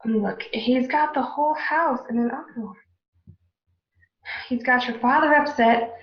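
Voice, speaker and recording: Radio play mother, woman performer: high-pitched, fretful, indignant nag firing scolding questions, in a roomy space. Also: a little slow. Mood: indignant, nagging. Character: nagging, indignant middle-aged mother of a grown daughter. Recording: roomy, dull home recording.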